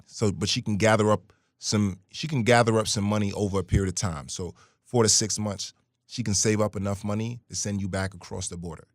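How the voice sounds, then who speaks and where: A man's voice: lowish voice, brisk, no echo, clean studio sound. A man from Brooklyn and Guyana, a musician guest telling stories in a casual interview. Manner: animated storyteller, hums melody lines.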